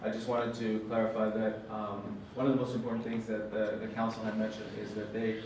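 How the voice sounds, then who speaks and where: A man facilitating a city council meeting, talking haltingly with many fillers. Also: fairly low pitch, monotone, darkish tone, a little hiss or hum. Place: large council chamber. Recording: distant, very echoey hall with crowd.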